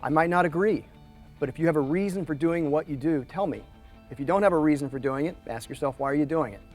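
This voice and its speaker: Earnest, slightly high-voiced male judge. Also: quick pace, soft background music. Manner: direct, earnest, emphatic. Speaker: competition judge. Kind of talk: talking-head interview.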